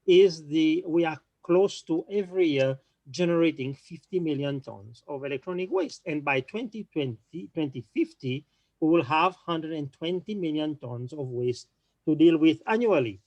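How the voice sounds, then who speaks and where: A man speaking on an online panel, talking quickly with frequent restarts and repeated words. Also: on the high side, animated, a little mellow, dry recording, dull audio.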